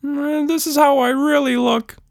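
A man's voice: extremely high pitch, little pitch movement, unhurried, vocal fry, no echo, studio quality, pitched above the performer's own voice, full character voice. A man voicing a cheerful, playful, stretchy pink creature in a bubbly, exclaiming way. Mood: shy.

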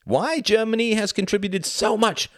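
A man's voice: high-pitched, moderate pace, dry recording, studio quality, voice pushed up, close to an everyday voice. A man voicing a proud, scornful young nationalist academic from Germany in an audiobook, with a declamatory, insistent delivery.

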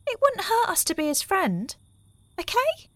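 A woman's voice: very high voice, huge pitch swings, unhurried, a bit bright, dry recording, full character voice. A woman playing a friendly, sympathetic housemate in an audio play, coaxing and conciliatory. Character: friendly, sympathetic female housemate, eager to befriend a ghost.

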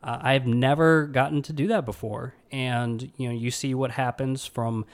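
Man, Alabama accent, podcast host, earnest, rambling, lots of fillers, mid-pitched voice. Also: fairly even intonation, normal speed. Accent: Alabama Southern. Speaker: podcast host, pathology resident. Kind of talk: casual solo podcast monologue.

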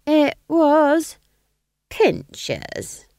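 A high, slow-drawling old witch, earthy and bossy, teasing every word, as a woman narrator puts her on. The storybook voice sits up above the reader's own and stretches its phrases out, in a dry, close recording. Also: huge pitch swings, dark tone, dry recording, full character voice.

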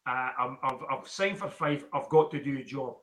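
A man's voice: medium pitch, moderate pace, a crowd in the background. A man hosting an interview, asking questions in a conversational, rambling way. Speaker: podcast host. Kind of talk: casual interview chat.

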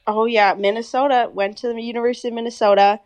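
A woman's voice: a little high, fairly even intonation, quick pace, a crowd in the background. A young woman in her twenties with an American accent, a medical student chatting casually as a podcast guest, rambling with many fillers. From India.

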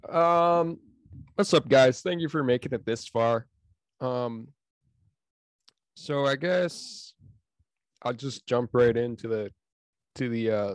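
A man's voice: mid-range voice, no echo. Easygoing young man hosting a sports podcast. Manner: easygoing, halting with fillers and restarts. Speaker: podcast co-host. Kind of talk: casual sports podcast chat.

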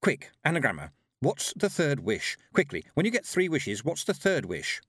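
A man's voice: on the high side, wildly swooping pitch, measured pace, slightly rough, dry recording, studio quality, voice pushed up, heavily acted. A man voicing a determined young witch girl in a storybook, speaking in an earnest, insistent way. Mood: urgent pleading. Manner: insistent, emphatic.

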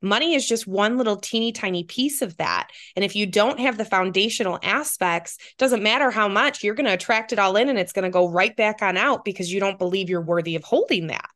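A woman's voice: mid-range voice, fairly quick, hardly any pauses, a bit bright. A woman from the American Midwest, co-hosting a podcast and responding enthusiastically with frequent "um" fillers. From Midwestern United States. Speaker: podcast co-host. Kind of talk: casual interview chat.

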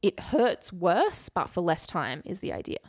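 A woman's voice: fairly low pitch, very animated, measured pace, a little mellow, husky, no room sound, studio quality. A young woman co-hosting a podcast in casual conversation, candid and wry, repeating things for emphasis. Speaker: podcast co-host. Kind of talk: casual conversational chat and storytelling.

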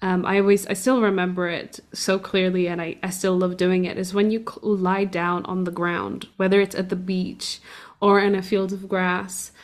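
A woman's voice: lowish voice, little pitch movement, moderate pace. A young woman from Portugal chatting casually, rambling with lots of fillers and restarts. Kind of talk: casual conversation.

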